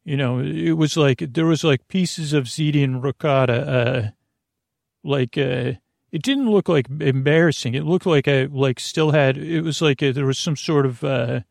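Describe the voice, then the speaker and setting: A man hosting a bedtime podcast in a creaky voice, meandering through tangents and interrupting himself in a lulling, soothing manner. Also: on the high side, measured pace, darkish tone, no room sound, clean studio sound. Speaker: podcast host. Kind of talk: rambling bedtime podcast monologue.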